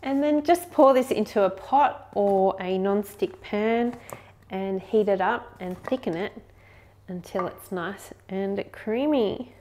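A woman's voice: mid-range voice, slow pace, darkish tone. A woman in her thirties hosting a cooking show, chatting upbeat and explaining each step in her home kitchen. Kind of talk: friendly recipe demonstration.

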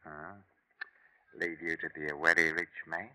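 An actor playing a sly, haggling old-time baker with a wry manner in a 1950s radio drama. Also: male voice, very deep voice, flat delivery, a little slow, sharp tone, no room sound, exaggerated voice, rough recording. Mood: shrewd. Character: sly, bargaining old-time English bun baker Humphrey. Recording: vintage 1950s radio recording.

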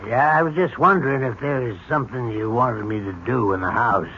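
A man's voice: medium pitch, a little fast, bright, forceful tone, natural voice. An actor playing a nervous, apologetic handyman turned reluctant killer in a 1970s radio drama, hesitant and deferential. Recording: noisy, dull vintage radio broadcast recording.

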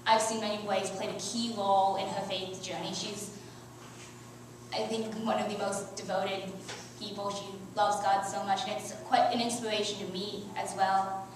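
A woman's voice: medium pitch, a little hiss or hum. Teen girl, earnest youth sermon, heard from afar in a very reverberant church, faint ambience. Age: teens. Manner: earnest, with soft laughs and small restarts. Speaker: youth speaker. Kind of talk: reading a prepared sermon. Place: church sanctuary. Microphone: headset mic, filmed by far camera. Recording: very echoey church from far off.

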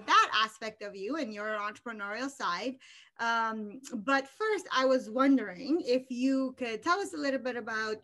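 A woman's voice: fairly high pitch, fairly bright tone. A woman in her thirties hosting a casual interview over a video call, friendly and hesitant with many fillers, recorded on a laptop webcam at home. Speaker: host. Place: home room with wood ceiling.

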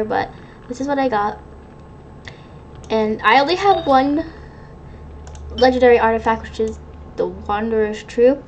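A woman's voice: fairly high pitch, slight background noise. A woman giving casual gameplay commentary as a gaming YouTuber. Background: game music.

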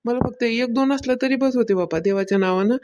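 High-voiced, grumbling village housewife played by a woman. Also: quick pace, a little mellow, lightly acted. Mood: pleading. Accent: rural Maharashtrian. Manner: complaining, repetitive, colloquial. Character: aggrieved village housewife fretting over her worship. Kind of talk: amateur cartoon comedy dialogue.

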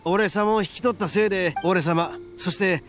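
A male game voice actor playing a brash, boastful young red oni with a cocky, rough, theatrical way of talking and a gravelly voice. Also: sits high, moderate pace, a bit bright, soft background music. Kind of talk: Japanese anime game voice acting.

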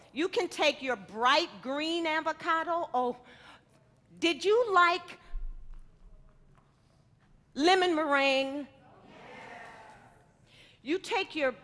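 Lively high-voiced woman chef teasing her audience. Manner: playful, teasing, chatty with the crowd. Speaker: chef giving a cooking demo. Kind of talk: live cooking demonstration to an audience. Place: large indoor hall with a stage set. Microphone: headset mic. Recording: long reverb of a big hall.

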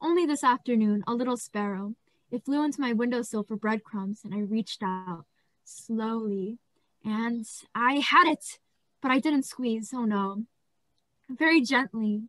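A woman's voice: fairly high pitch, measured pace, fairly bright tone, no room sound. A woman acting a deranged male asylum patient in a radio play, pleading and gleeful, repeating words obsessively. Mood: creepy gentle glee.

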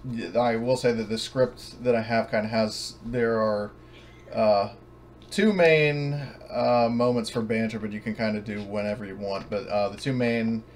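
A man hosting a tabletop roleplaying game as game master, talking casually in a halting, hesitant way. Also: lowish voice, steady tone, slight background noise.

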